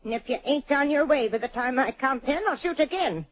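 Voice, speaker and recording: A woman's voice: high-pitched, expressive, a little fast. An actress playing a fierce, gun-toting frontier woman miner who talks in a bossy, threatening way in an old-time radio western. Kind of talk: 1950s radio drama acting.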